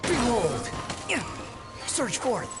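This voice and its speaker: Fierce young game warrior, short spaced-out battle cries, loud music. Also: female voice, on the high side, big pitch swings, drawn-out words, full character voice. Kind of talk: video game battle barks.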